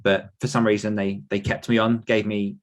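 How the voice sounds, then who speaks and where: British male podcast guest, lowish voice, conversational storytelling, chatty and self-effacing. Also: level tone, moderate pace. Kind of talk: casual personal anecdote in conversation.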